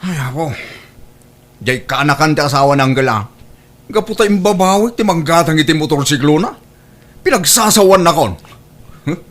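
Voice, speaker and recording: A man with an Ilocano accent playing a stubborn, exasperated son in a comedy radio-style drama, animated and complaining. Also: a little high, moderate pace, pauses often. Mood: annoyed, stubborn.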